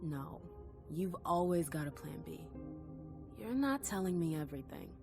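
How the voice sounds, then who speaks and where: A female vampire in a video game cutscene, lowish and full of creaky fry. She is stubborn and skeptical with an old hurt, pressing coolly and insistently over prominent music. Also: very animated, moderate pace. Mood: probing. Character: stubborn, wounded female vampire, probing her sire. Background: background music.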